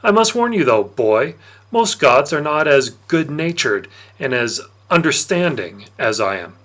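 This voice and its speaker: A man voicing a plump, jovial god of wine and parties with menace underneath, in a theatrical, condescending storybook manner with drawn-out emphasis. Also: a little high, very animated, fairly bright tone. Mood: amused, boastful.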